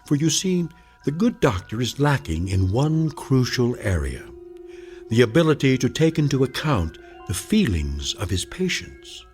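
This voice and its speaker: An actor playing the wry, portentous narrator of an uncanny radio drama, with a theatrical delivery. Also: male voice, mid-range voice, very animated, measured pace, a little mellow, soft background music. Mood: wry, ominous. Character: wry, ominous male narrator of uncanny tales.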